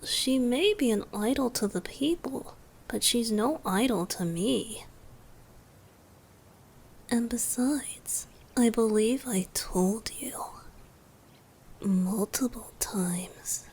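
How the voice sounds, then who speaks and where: A woman voicing a playful, tender young male bard god in a romantic ASMR roleplay, soft and breathy, often whispering and gently teasing. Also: on the high side, animated, slow, pauses often, exaggerated voice. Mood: devoted, teasing.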